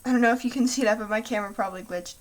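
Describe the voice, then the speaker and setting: A young woman hosting a craft tutorial, soft-spoken and apologetic, talking through each step while losing her voice. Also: fairly high pitch, very fast. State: losing her voice, clearing throat.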